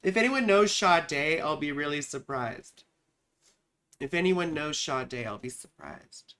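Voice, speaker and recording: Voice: medium pitch, animated, unhurried, bright, forceful tone. Chatty live-stream astrologer host. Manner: chatty, repeats her own phrases, addresses viewers directly. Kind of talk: casual live-stream chart reading.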